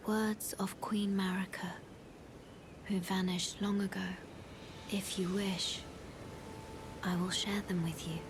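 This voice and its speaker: Mystical guide for a fantasy game: whispery, ceremonial, unhurried, slightly low, calm. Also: female voice, background noise. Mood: calm, mysterious. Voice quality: soft, whispery. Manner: measured, ceremonial recitation. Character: solemn, softly spoken mystical maiden, calm and formal. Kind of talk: video game voice acting.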